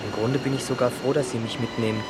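A man's voice: mid-range voice, fairly even intonation, fast talker, loud music behind the voice, lightly acted. An actor playing a bewildered, pleading young man in a radio play, agitated and protesting.

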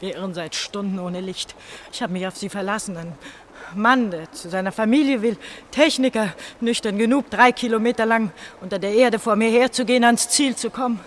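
A woman's voice: mid-range voice, brisk, a little hiss or hum, close to an everyday voice. An actress in a German radio play playing an exhausted, desperate young woman, pleading and reproachful.

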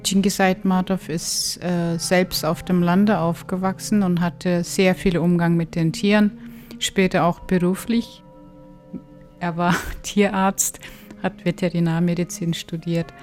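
A woman from Kyrgyzstan, giving halting interview answers as an expert. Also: lowish voice, little pitch movement, a little mellow, soft background music. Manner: halting, hesitant delivery. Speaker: interviewed expert, legal anthropologist.

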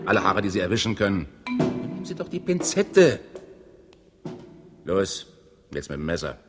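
A man's voice: sits low, wildly swooping pitch, normal speed. An actor playing a bossy, impatient man in an experimental radio play, with a brusque and erratic delivery. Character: bossy, impatient man barking gruesome instructions. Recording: long reverb, music behind.

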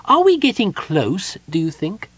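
A naive, cheerful little fellow, played by a man, full of curious questions. The voice is lifted high and lilts widely, as in a fantasy storybook. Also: huge pitch swings, unhurried, a bit bright, husky, no echo, heavily acted. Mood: curious, wondering. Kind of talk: dramatised audiobook fantasy character.

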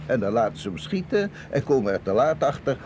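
Over clear vehicle rumble, a man plays a watchful colonel in a radio play. His mid-pitched voice is expressive and varied, with courteous, formal authority. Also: brisk, lightly acted. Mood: assured, serious. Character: confident, earnest male intelligence colonel, courteous and watchful. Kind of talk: Dutch radio drama acting. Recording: clear vehicle noise behind voice.

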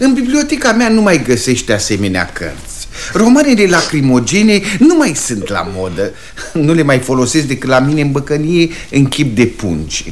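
An actor playing a pompous, opinionated middle-aged uncle in a radio comedy, emphatic and self-satisfied. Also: male voice, medium pitch, huge pitch swings, few pauses. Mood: self-important. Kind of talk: radio comedy stage acting. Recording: old radio play with hiss and clicks.

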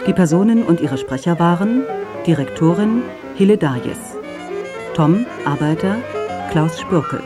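An announcer reading scripted radio play credits in a measured, formal way. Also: mid-range voice, expressive. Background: plucked string music.

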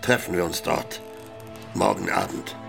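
Gravelly small-time crook. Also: male voice, low voice, measured pace, over music, heavily acted. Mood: guarded. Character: shady small-time London crook on a houseboat. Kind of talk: radio crime drama dialogue.

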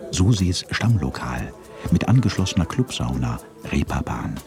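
A man's voice: very deep voice, darkish tone. A man narrating a radio drama in a dry, ironic way.

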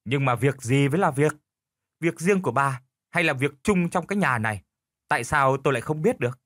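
A gruff older husband from an audio storybook, acted by a male narrator, stubborn and domineering. He grumbles and scolds with lively swings in pitch, recorded dry and close. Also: brisk, no room sound. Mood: gruff, threatening.

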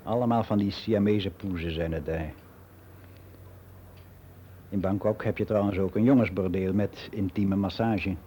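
A man's voice: sits low, steady tone, unhurried, pauses often, warm tone, slight background noise. An actor playing a world-weary middle-aged man who rambles in a frank, confiding way in a radio play monologue. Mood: gossipy. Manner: rambling, confiding, matter-of-fact.

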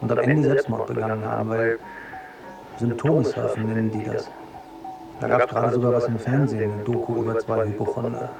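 A man's voice: medium pitch, little pitch movement, a little fast, vocal fry, music in the background, close to an everyday voice. An actor playing an anxious, defensive male hypochondriac in a radio drama, rambling and self-justifying. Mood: aggrieved, anxious.

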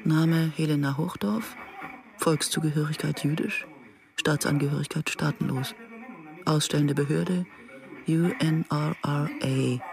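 A woman's voice: low voice, unhurried, creaky. An actress playing an anxious, introspective woman who narrates a radio drama in the first person. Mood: haunted, reflective. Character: anxious, introspective Israeli woman recalling her past. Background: music.

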